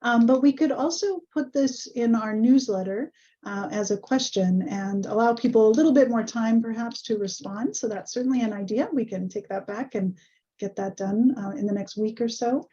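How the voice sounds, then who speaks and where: A woman hosting an online webinar over a video call, a little hoarse, friendly and full of ums. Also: a little high, moderate pace, dark tone. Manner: friendly, many ums and uhs. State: slightly hoarse. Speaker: webinar host. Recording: muffled video call audio.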